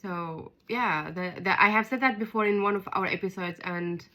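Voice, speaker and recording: Woman co-host, Arabic first language, clear bright tone, hesitant word-searching chat. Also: mid-range voice, normal speed. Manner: hesitant, searches for simple words. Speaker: podcast co-host. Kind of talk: casual chat.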